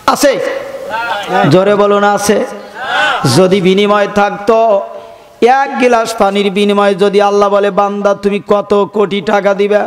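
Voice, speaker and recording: A Bangladeshi man preaching an Islamic sermon in a fervent, drawn-out, sing-song way, exhorting his listeners and at times chanting. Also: sits high, flat delivery, a crowd in the background. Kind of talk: fervent sermon with chanted passages and calls for audience response. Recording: long hall reverb, music-like sound behind.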